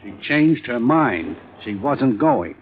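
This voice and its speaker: An actor playing a wealthy, pushy murder suspect who keeps offering money, in 1950s radio drama style. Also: male voice, big pitch swings, takes it slowly, slight background noise. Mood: pleading. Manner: low, bargaining, offers money. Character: rich, pushy murder suspect, middle-aged man. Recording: old lo-fi broadcast, phone-like.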